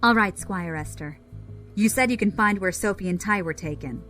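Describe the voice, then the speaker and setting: A woman's voice: mid-range voice, moderate pace, a bit bright, faint music behind, close to an everyday voice. Terse, commanding female space pirate leader, played by an actress. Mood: guarded, commanding. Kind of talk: audio drama acting.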